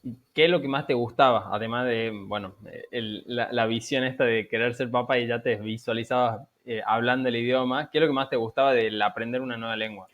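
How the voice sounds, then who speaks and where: An Argentine man hosts a podcast and asks loose questions that trail off, stopping now and then to add his own side remarks. His pitch sits in the middle, and the audio is slightly muffled and lo-fi. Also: steady tone, brisk. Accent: Argentine Rioplatense. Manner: laid-back, questions trail off, asides. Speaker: podcast host. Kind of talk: conversational interview.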